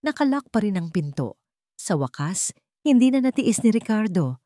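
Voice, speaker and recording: A woman narrating a scripted dramatic story in a solemn, deliberate manner. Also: medium pitch, big pitch swings, warm tone, no room sound, clean studio sound.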